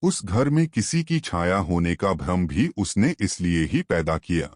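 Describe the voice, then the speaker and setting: A man's voice: low-pitched, very animated, measured pace, a little mellow, no room sound, studio quality. A man narrating a scripted suspense story as a storyteller.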